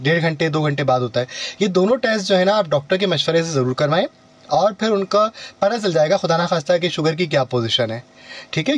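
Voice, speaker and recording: Pakistani guy hosting a morning radio call-in, kinda high voice, chatty and polite. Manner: chatty, courteous, repeats advice. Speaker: radio host.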